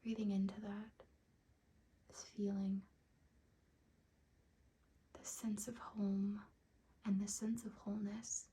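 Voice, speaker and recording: A woman leading a guided meditation in a breathy, whispery ASMR voice, speaking gently and soothingly with long unhurried pauses. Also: mid-range voice, level tone.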